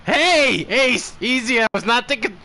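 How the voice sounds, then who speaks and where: Bright-voiced man casually streaming a game. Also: extremely high pitch, lively intonation, normal speed. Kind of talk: casual live game commentary. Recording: slightly noisy live stream.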